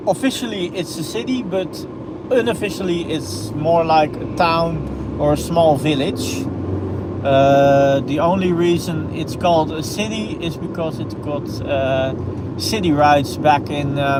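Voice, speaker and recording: Dutch-accented man, slightly high voice, unhurried, hesitant, searching for words, loud road noise in the car. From Netherlands. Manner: hesitant, many fillers and restarts, searches for English words. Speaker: driving channel host. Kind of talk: live-streamed driving commentary. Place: inside a moving car.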